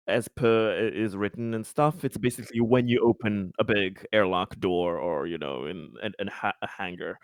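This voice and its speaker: A man's voice: on the low side, no echo. Dry-sounding man talking game rules. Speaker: tabletop game player. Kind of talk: casual game rules discussion.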